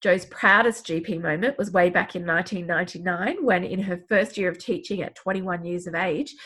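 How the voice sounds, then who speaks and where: A woman's voice: on the low side, fairly bright tone, breathy edge. A woman hosting a podcast with a head cold that makes her sound sniffly and clogged up, reading introductions and chatting with guests. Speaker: podcast host. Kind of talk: scripted podcast intro and casual chat.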